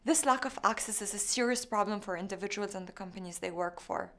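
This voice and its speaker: A young woman from Lebanon, a startup founder, delivering a rehearsed, measured pitch. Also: a bit bright. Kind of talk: scripted pitch.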